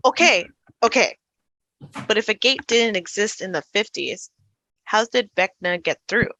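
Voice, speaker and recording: A woman acts an earnest, anxious teenage boy in a script reading. The voice is bright and tense at a middle pitch, recorded close and dry. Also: expressive, measured pace, no echo, full character voice. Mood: puzzled. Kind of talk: dramatic table read. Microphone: video call.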